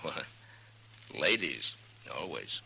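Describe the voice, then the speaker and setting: Wry frontier lawman, animated and commanding, 1950s radio drama with slight background noise. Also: very deep voice, slow pace, creaky, heavily acted. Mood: sly. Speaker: U.S. marshal in a western. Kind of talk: 1950s radio western acting. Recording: noisy 1950s radio recording.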